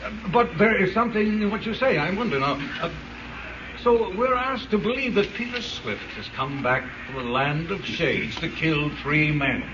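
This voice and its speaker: Guy doing suave 30s radio gentleman sleuth, highish lively voice, wry, muffled hissy recording. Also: normal speed, lightly acted. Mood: dismissive, pondering. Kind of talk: 1930s radio acting.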